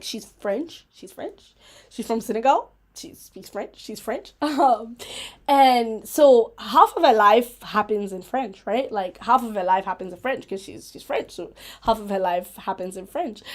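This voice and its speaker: A woman hosting a casual, rambling livestream chat, playful, giggly and self-mocking, with an animated, emphatic way of talking. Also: fairly high pitch. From Africa. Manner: animated, emphatic, repeating herself for effect. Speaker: host of a chat vlog.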